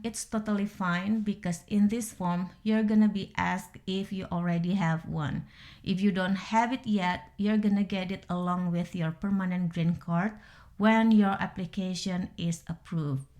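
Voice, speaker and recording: A woman with an Indonesian accent, whose first language is Indonesian, narrating a careful step-by-step voice-over that walks through documents one by one. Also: mid-range voice. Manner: careful, methodical, explaining one document at a time. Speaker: vlogger.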